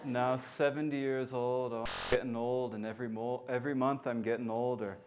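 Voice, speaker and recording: Mid-pitched male Dharma interpreter. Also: level tone, moderate pace, a crowd in the background.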